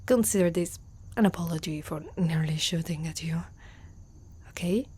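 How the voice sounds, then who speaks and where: A woman's voice: on the low side, moderate pace, a little mellow, slightly rough. A woman with an Italian accent playing a proud, guarded but protective centaur girl in an ASMR roleplay, often dropping into whispers. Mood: concerned, protective. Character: proud, guarded young female centaur warrior, gruffly protective.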